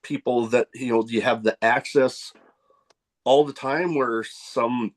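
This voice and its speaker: A man hosting a podcast interview, agreeable and hesitant, with many pauses and fillers. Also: medium pitch, steady tone. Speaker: podcast host.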